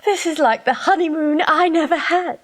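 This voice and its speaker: An actress playing a teasing, flirtatious lover in an audio drama, with a playful, arch, mock-scolding manner. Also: female voice, high-pitched, normal speed, a bit bright. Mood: tender. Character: teasing, flirtatious woman lover on a romantic holiday. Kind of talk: naturalistic audio drama dialogue.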